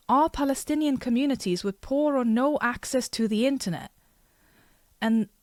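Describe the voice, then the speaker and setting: A woman's voice: on the high side, darkish tone, no echo, clean studio sound. A woman whose first language is not English, reading a web page aloud with occasional stumbles and breaking off to make frank, chatty asides. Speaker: artist and video creator.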